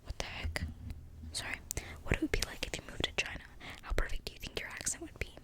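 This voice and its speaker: A woman speaks in a soft, breathy, intimate whisper, reading a book aloud in ASMR style with casual asides. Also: fast. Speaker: ASMR video creator. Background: thunderstorm outside the window.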